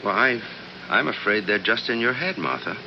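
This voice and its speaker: A man's voice: fairly low pitch, lively intonation, normal speed. An American man acting a skeptical, placating husband in a 1970s radio drama, speaking in a casual, coaxing way. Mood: placating, rattled. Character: skeptical, placating husband, practical and slightly nervous. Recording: dull, noisy 1970s radio recording.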